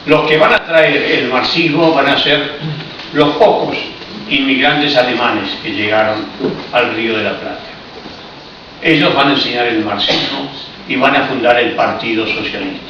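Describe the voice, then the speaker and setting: A man's voice: mid-range voice, moderate pace, far from the mic. A man from Argentina with an Argentine Spanish accent, giving a history lecture in a reflective, explanatory way with ironic asides. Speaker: speaker giving a public talk. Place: cultural hall in Paris. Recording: echoey, muffled, noisy room recording from afar.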